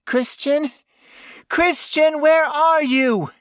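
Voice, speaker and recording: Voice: high voice, fairly even intonation, drawn-out words, no echo, clean studio sound, pitched above the performer's own voice. A narrator voicing a frightened young woman in an audiobook story, whimpering and pleading with a trembling voice. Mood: tearful, pleading.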